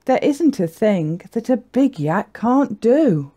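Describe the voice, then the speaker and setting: Woman voicing a lively, wistful little girl yak. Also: a little high, slow, highs cut off, pitched above the performer's own voice, full character voice. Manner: expressive, sing-song rhyming delivery. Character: small young female yak, wistful, eager, plucky. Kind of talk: children's picture-book character voice.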